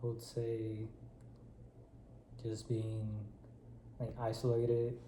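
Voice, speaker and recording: A man's voice: lowish voice, completely flat delivery, extremely slow, noisy background. A man in his twenties with a Spanish accent, a native Spanish speaker, answering politely and briefly as a client in a role-played counseling session. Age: 20s. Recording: roomy echo.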